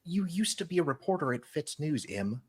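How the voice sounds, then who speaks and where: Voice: mid-range voice, big pitch swings, normal speed. A livestream panelist talking and reading aloud in a blunt, informal way. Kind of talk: casual group chat with reading aloud.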